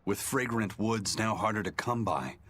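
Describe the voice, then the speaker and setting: An actor playing a serious, learned man in a video game, explaining history in a measured, expository way. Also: lowish voice, fairly bright tone, slight background noise, close to an everyday voice. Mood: earnest, explanatory. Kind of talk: scripted video game dialogue.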